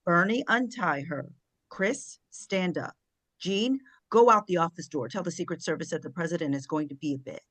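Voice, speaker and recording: Woman playing an imperious, lowish-voiced woman in charge. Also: moderate pace, fairly bright tone, no echo, poor audio. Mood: brisk orders. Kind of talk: script table read.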